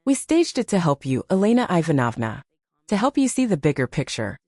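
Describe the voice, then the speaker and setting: A woman's voice: on the low side, sing-song, fairly quick, a little mellow, dry recording, studio quality. A woman voicing a ruthless, scheming young man in an audiobook, hard and pressuring. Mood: hardened.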